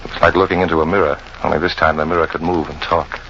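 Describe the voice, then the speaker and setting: Through clear noise on a muffled old radio recording, a cynical American man, a jungle guide on the run. His voice is very low, his manner tough, wry and slangy, like hard-boiled radio narration. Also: little pitch movement, rapid-fire, fairly bright tone. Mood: stunned.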